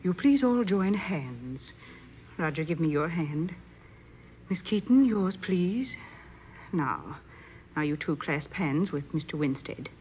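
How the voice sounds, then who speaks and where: A solemn, imperious female séance medium of middle age in a radio drama. She speaks formally and commandingly at a slow, deliberate pace. The recording is dull and lo-fi, with faint noise. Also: low-pitched, very animated, exaggerated voice. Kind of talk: old-time radio drama acting.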